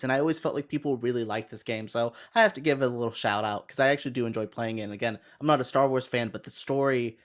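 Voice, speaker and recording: A man on a panel giving his opinions in an earnest, conversational way. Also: medium pitch. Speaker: podcast panelist. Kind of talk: casual chat, giving opinions.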